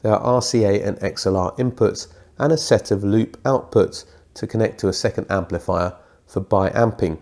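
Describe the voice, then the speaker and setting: A middle-aged man with a British accent, his voice thick and croaky from a lingering cold. He talks low and mellow through a scripted review, dropping in the odd dry aside at his own expense. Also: normal speed. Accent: British English. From Britain. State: congested from a cold.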